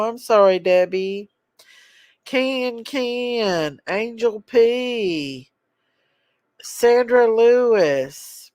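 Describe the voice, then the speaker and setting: A woman's voice: mid-range voice, no room sound, studio quality. A woman, stuffed up with a cold, hosting a casual livestream chat and reading out viewer names. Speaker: livestream host.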